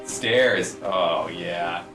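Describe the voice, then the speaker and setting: A man's voice: low voice, drawn-out words. Wry, dry-joking man, distant roomy mic. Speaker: game developer. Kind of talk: casual developer commentary over gameplay. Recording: roomy, distant mic, game music behind.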